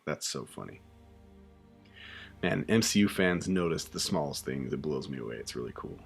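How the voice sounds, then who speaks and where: Deep voiced guy rambling on a live stream, relaxed, lots of ums. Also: moderate pace, gravelly, soft background music. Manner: relaxed, chatty, many fillers and restarts. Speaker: YouTube host reacting to a show. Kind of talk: casual live reaction chat.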